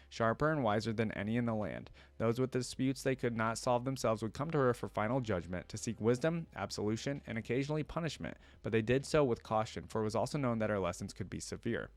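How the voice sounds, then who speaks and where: A man reading a story aloud as a reaction host, expressive in the telling, with casual asides. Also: lowish voice, no echo.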